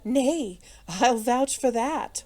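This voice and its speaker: A woman's voice: on the high side, lively intonation, very slow, a little mellow, pitched above the performer's own voice, exaggerated voice. A woman voicing a merry, witty society lady with a playful, mocking manner in an audiobook. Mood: teasing.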